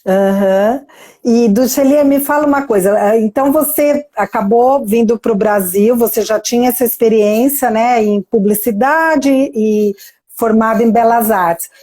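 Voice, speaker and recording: A woman's voice: fairly high pitch, unhurried, warm tone. A woman with a Brazilian Portuguese accent hosting a live interview over a video call, effusive and chatty, tagging sentences with questions. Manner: effusive, chatty, many "né?" tags. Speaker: live-stream host and interviewer. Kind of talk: warm conversational interview.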